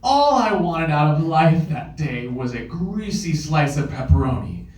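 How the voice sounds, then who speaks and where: A man's voice: a little high, animated, measured pace, darkish tone, slight background noise. A man playing a smarmy, touchy, gleefully mean monstrous guy in his thirties in a comedy table read. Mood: smug storytelling. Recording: roomy, distant mic at live event.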